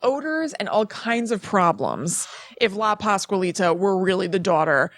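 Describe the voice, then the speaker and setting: A woman's voice: medium pitch, sing-song, moderate pace, no room sound. A woman co-hosting a podcast in a casual, chatty and emphatic conversational way. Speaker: podcast co-host. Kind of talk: casual chat about spooky legends.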